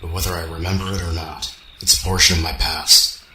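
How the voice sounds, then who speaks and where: Radio-drama cyborg hedgehog, voiced by a man, guarded and machine-like, low-pitched, in a roomy recording with faint noise. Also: little pitch movement, a little fast, pushed and bright. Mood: stoic. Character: stoic amnesiac male cyborg hedgehog, part computer. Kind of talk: amateur radio drama acting.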